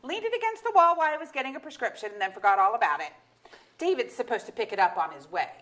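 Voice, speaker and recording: A woman's voice: fairly high pitch, big pitch swings, fast talker, a bit bright. A woman playing a wry, sardonic middle-aged wife and mother in a staged play reading, with dry, hesitant asides.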